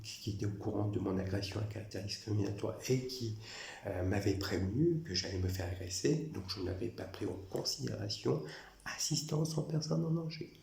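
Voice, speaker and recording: A low-voiced man from France talks in a long, rambling monologue, circling back over the same points with insistent repetition. His voice has a rough, gravelly fry, and the room around him rings with echo. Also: fairly quick, a little mellow, slight background noise. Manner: repetitive, insistent, restarts phrases. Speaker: former prison guard recounting grievances.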